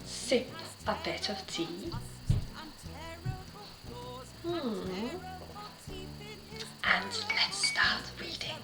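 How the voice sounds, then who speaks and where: A woman reading and chatting to children like a teacher, in simple, expressive phrases with dramatic pauses. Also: a little high, roomy sound, over loud music. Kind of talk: children's storytelling, gentle chat and narration.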